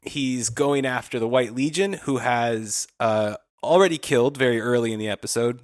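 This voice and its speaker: A man's voice: mid-range voice, moderate pace, no room sound, clean studio sound. A man, a podcast host giving a casual, rambling recap.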